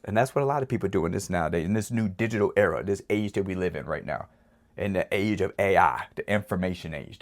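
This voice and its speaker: A man with a low voice gives a motivational talk as a podcast host. His pitch swings widely, and he talks in a preachy way, stacking repeated phrases and rhetorical questions. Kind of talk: motivational monologue.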